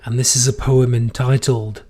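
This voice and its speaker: A man hosting his own podcast while sick and losing his voice, reading a poem slowly and then ranting about everyday habits. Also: medium pitch, level tone, mellow. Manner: slow deliberate reading, then opinionated ranting. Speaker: podcast host. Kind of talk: solo podcast with poetry reading and rants.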